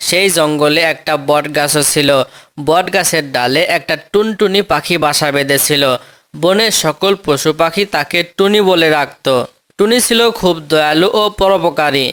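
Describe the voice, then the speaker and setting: Male narrator for children's cartoon tales, notably high register, scripted storytelling delivery. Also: normal speed, fairly bright tone, clean tone.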